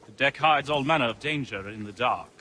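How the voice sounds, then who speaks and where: A man playing an easygoing, cautioning night-watch sailor in a video game, deferential and unhurried. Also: mid-range voice, pushed and bright, a little hiss or hum, natural voice. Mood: calm, cautioning.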